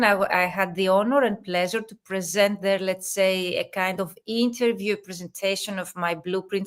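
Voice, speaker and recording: A woman's voice: lowish voice, fairly bright tone. Chatty woman hosting a video interview. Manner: chatty, many "let's say" fillers. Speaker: business coach and host. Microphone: video call.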